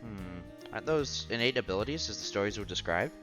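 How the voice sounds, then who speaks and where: A man's voice: lowish voice, animated, quick pace. A man streaming a visual novel, reading the text aloud with casual commentary and stumbling over words now and then. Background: game music.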